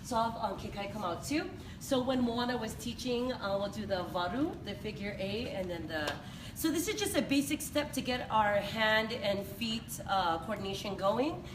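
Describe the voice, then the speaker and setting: A woman's voice: a little high, normal speed. Woman choreographer in an echoey hall. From Pacific Islands. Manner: loud, laughing, teacher-like. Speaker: choreographer and dance teacher. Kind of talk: live event interview and demonstration. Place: large echoey event hall. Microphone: distant handheld phone recording. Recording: echoey hall from far off, noisy.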